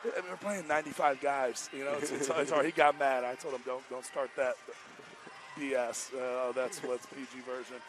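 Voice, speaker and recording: Male, American accent, basketball coach, medium pitch, repetitive emphatic phrasing, casual post-game talk, headset mic. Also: flat delivery, normal speed. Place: indoor basketball arena, courtside.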